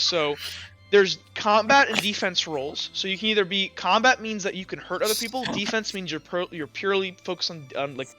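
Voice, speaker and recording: High-pitched, bright-voiced man game master. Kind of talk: running a tabletop roleplaying game, explaining rules.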